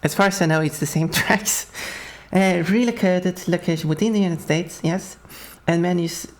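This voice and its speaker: Low-voiced Swedish woman streaming a racing game. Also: moderate pace. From Sweden. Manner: chatty, laughs often, talks to chat while racing. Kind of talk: casual gameplay commentary.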